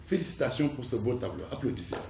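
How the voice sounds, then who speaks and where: A man telling a tale on stage in a solemn, measured way. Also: medium pitch, sing-song, vocal fry, darkish tone. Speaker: storyteller. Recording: echoey room with faint noise.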